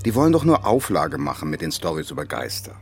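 A man's voice: rumbling low, huge pitch swings, quick pace, vocal fry, faint music behind, full character voice. An actor playing an easygoing, placating middle-aged father with a wry manner in a children's radio play. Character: easygoing, soothing father of a schoolboy.

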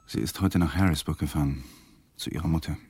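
An actor playing a troubled, guarded lawyer in a radio drama, speaking tersely and politely. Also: male voice, extremely low pitch, quick pace, darkish tone, breathy edge, no echo, full character voice. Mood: guarded, subdued.

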